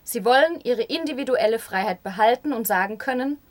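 A woman's voice: a little high, lively intonation, fairly quick. A woman reading a translated interview as a voice-over for community radio.

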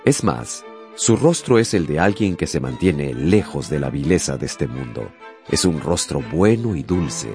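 A man's voice: rumbling low, very animated, a little slow, darkish tone. A man narrating a literary radio drama in a scripted, dramatic storytelling style. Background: music.

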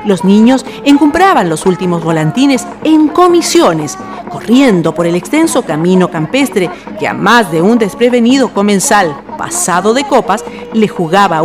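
A woman's voice: mid-range voice, animated, moderate pace, music in the background. A woman with a Chilean accent giving scripted narration for a radio drama.

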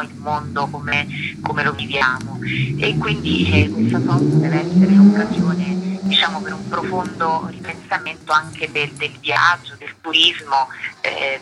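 A woman's voice: sits low, rough recording. A woman speaking as a radio interview guest, unscripted and hesitant with many filler words.

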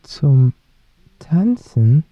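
A man's voice: medium pitch, very animated, very slow, no room sound, lo-fi sound, heavily acted. An actor playing a curious, cheeky teenage boy with a blunt, wry way of asking things in a radio drama. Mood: puzzled. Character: curious, cheeky teenage boy travelling with his old mentor.

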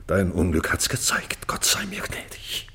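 A proud old king in a classical radio play speaks slowly in a low voice. He declaims verse with solemn weight, grieving yet commanding. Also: wildly swooping pitch, in a whisper, full character voice. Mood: grieving, self-reproachful. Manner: declamatory, solemn, breaking into hushed tones.

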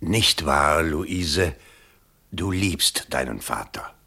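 A man's voice: very deep voice, lively intonation, drawn-out words, creaky, over music, exaggerated voice. An actor playing a desperate, vain middle-aged father and political candidate in a theatrical radio play. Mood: wheedling. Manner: theatrical, pleading, swinging from hushed to frantic. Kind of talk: stage-like German radio play acting.

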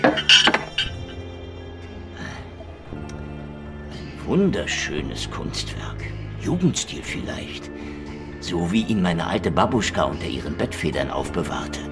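Sardonic hardboiled detective, swinging pitch. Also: male voice, sing-song, measured pace, over music. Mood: mock admiring. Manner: sardonic, wisecracking, dry. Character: cynical hardboiled Soviet secret police detective, sardonic and defiant. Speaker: lead actor and first-person narrator. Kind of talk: German hardboiled radio crime drama acting.

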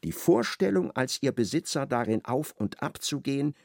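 A man narrating a literary radio drama in an expressive, storytelling manner. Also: medium pitch, moderate pace, gravelly, no room sound, studio quality.

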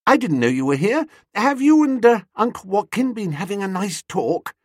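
A man's voice: sits high, big pitch swings, moderate pace, no echo, clean studio sound, voice pushed up. A man voicing a merry, coy, gushing young woman, the niece, in a comic audiobook.

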